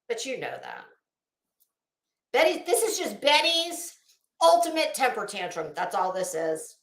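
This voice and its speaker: A woman's voice: on the high side, very animated, unhurried. An American woman livestreamer giving casual, profane commentary in a scornful, sarcastic manner, leaning hard on emphatic delivery. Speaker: online livestream host. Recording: roomy, echoing sound.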